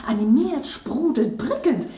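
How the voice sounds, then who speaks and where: A bubbly, excitable mineral water from a radio play. The voice is fairly high and chatty, heard slightly away from the mic in a roomy, echoing space. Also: female voice, a little slow, distant mic. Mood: bubbly, delighted. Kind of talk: German radio play acting.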